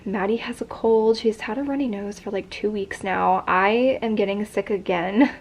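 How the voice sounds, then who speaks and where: A woman's voice: mid-range voice, normal speed, a little mellow, poor audio. A young woman vlogging in a casual chat, congested and stuffy, with a sore throat, talking in a rambling way full of fillers. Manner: chatty, many fillers like "like" and "um".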